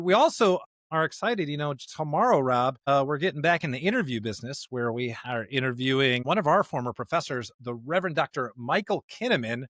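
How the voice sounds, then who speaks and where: A man's voice: a little high, a bit bright, no echo, clean studio sound. An American man, a pastor co-hosting a podcast, speaking in an upbeat, emphatic way as he introduces and chats casually. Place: studio.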